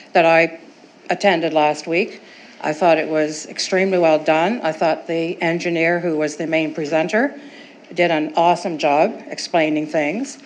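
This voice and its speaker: A woman with a notably low voice speaks at a podium microphone in a council chamber. She talks chattily and gratefully, rambling with fillers, over faint room noise. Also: poor audio. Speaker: resident giving public comment. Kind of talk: public comment at council meeting.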